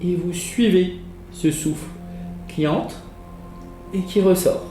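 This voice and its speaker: A man leading a guided relaxation as a yoga teacher, giving soothing, measured instructions with pauses. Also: sits high. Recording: roomy echo with music behind. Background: relaxation music.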